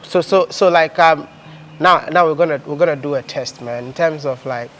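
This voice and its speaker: A man's voice: fairly high pitch, a bit bright. A young man hosting a casual vlog, laughing often and stumbling over his words. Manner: laughing, halting with restarts. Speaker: vlogger host.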